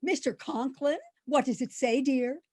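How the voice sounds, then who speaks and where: A woman's voice: sits high, unhurried, darkish tone. A woman playing a kindly, chatty, absent-minded elderly landlady who rambles earnestly in old-time radio comedy style. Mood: earnest, helpful.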